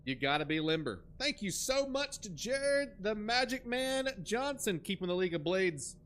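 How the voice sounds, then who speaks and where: A man's voice: high voice, sing-song, normal speed, a bit bright, clean tone. A man hosting a live game stream, commentating with hammy announcer-style bits and crude jokes.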